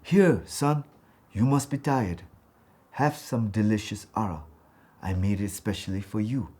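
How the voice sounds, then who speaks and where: A man's voice: fairly low pitch, a little slow, warm tone. A man voicing a secretive, coaxing, deceitful mother of a grown son in a folktale. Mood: falsely sweet. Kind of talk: folktale storytelling.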